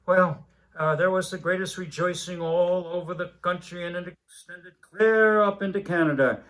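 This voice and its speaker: A man in his seventies reads a children's Christmas story aloud, unhurried, with small hesitations. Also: sits high, a bit bright. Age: 70s. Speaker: story reader.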